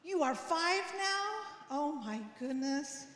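A woman's voice: high-pitched, expressive, slow, a bit bright. Older woman in an echoey church. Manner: asks children questions and echoes their answers. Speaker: children's message leader at church. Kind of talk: interactive children's sermon. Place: large church sanctuary. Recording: echoey church hall with long reverb.

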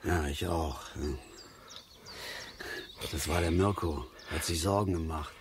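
An actor playing a gruff, stubborn middle-aged father and angler in a radio drama, talking colloquially and haltingly. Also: a bass voice. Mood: sheepish, grumbling. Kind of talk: German radio drama acting. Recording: noisy outdoor ambience behind the voice.

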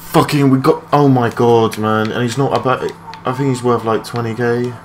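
A young man with a low voice giving rambling, matey, sweary gameplay commentary in a casual unscripted way. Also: a little slow. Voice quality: low-pitched voice. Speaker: gaming video creator. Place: his room at home. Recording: clearly noisy home mic recording.

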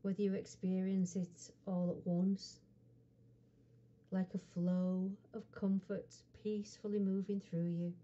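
A woman with a very soft, dark, mellow voice leads a guided hypnosis session. She speaks slowly and soothingly, leaving frequent pauses between short phrases. The recording is somewhat muffled and dull. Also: mid-range voice. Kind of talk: guided hypnosis relaxation script.

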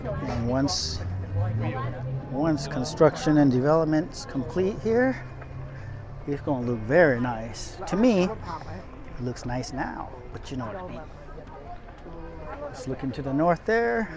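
A man's voice: on the high side, lively intonation, slow, long pauses. A man narrating a casual walking tour outdoors on city streets, rambling along with many fillers and restarts while he walks and films. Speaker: vlogger filming a walking tour. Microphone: camera held while walking, speaker off screen. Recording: outdoor street with crowd noise. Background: crowd chatter and passing traffic.

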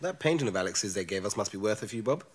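A man's voice: on the low side, lively intonation, quick pace, slightly rough. An actor playing a wry, joking young engaged man.

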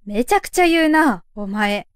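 A woman's voice: fairly high pitch, expressive, normal speed, smooth, dry recording, natural voice. A woman playing a blunt young shrine maiden girl who makes dry retorts in a comic anime voice drama. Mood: exasperated. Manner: dry, exasperated straight-man retorts.

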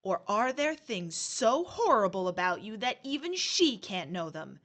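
An actress playing a cool young agent of the afterlife, patronizing and mock-soothing, in an audio horror drama. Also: female voice, medium pitch, sing-song, unhurried, fairly bright tone, exaggerated voice. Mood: taunting.

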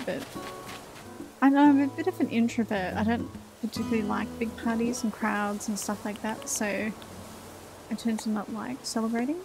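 Chatty woman in her forties, gravelly. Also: on the high side, low-quality recording. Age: 40s. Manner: chatty, self-deprecating, thinking aloud. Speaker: let's play host. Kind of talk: casual gameplay commentary.

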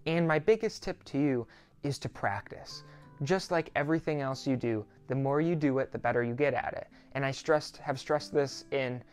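A teenage young man hosts an explainer in a conversational, reassuring manner. His voice sits at a middle pitch, and he is recorded indoors on a close mic. Faint music plays underneath. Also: normal speed, clean tone. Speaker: student pilot YouTuber, host. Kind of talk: explanatory talk to camera. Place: small quiet home office, indoors.

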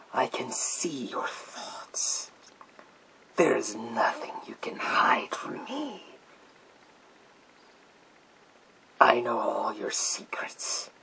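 A man voicing a menacing psychic villain in a gas mask, in a theatrical, drawn-out ASMR roleplay whisper with a creaky edge. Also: mid-range voice, fairly bright tone, heavily acted, poor audio. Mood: eerie, menacing. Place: small indoor room with bookshelves.

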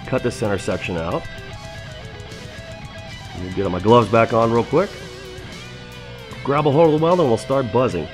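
An upbeat man in his thirties talks through a hands-on demo in a metal shop, a steady, mid-pitched voice with plenty of easygoing "uh"s. Faint guitar plays softly underneath. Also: normal speed, long pauses. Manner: upbeat and chatty, with filler "uh"s. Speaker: fabrication show host. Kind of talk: casual how-to demonstration. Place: metal fabrication shop, indoors.

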